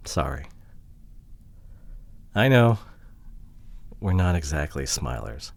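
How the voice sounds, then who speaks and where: A man playing a hurt, introverted, awkwardly apologetic boyfriend in an ASMR roleplay audio drama, speaking haltingly and close to the microphone. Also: a bass voice, very animated, gravelly, full character voice. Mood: hurt, earnest. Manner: hesitant, halting, sighing delivery with soft drops. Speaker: voice actor. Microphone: close microphone, dry.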